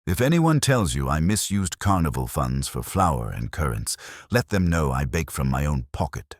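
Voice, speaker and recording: A man voicing a formidable, proud older woman, brisk and indignant, in a mystery story. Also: rumbling low, very animated, no echo, studio quality, heavily acted. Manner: brisk, emphatic, wounded pride.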